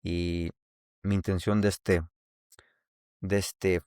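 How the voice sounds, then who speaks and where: A man's voice: low voice, little pitch movement, takes it slowly, darkish tone, clean tone, dry recording, clean studio sound. A man from Mexico with a Mexican accent, hosting a casual solo podcast and talking in a rambling way with many restarts. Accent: Mexican Spanish. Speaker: podcast host.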